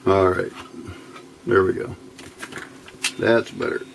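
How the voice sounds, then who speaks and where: Low, creaky-voiced man explaining hands-on work. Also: flat delivery, takes it slowly, background noise. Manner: unscripted, thinking aloud while working. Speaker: electronics hobbyist instructor. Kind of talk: informal hands-on tutorial narration.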